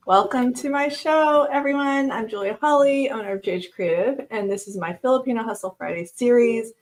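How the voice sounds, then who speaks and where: A woman's voice: fairly high pitch, a little fast. A woman hosting a live interview show, bubbly and effusive. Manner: bubbly and effusive, laughs often. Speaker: host.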